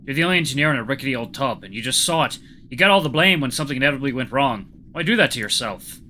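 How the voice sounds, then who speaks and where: A man plays an anxious yet stubborn animal hero in a story. He talks earnestly and informally, pressing for answers in a bright, forceful voice at middling pitch, with some background noise. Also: expressive, rapid-fire. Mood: challenging. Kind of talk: story character reading.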